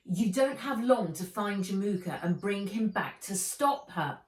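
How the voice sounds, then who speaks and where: A woman voicing a chatty, upbeat young friend on a call in a children's storybook, slangy and excitable. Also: mid-range voice, a little slow, reverberant, natural voice. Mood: chatty, urgent.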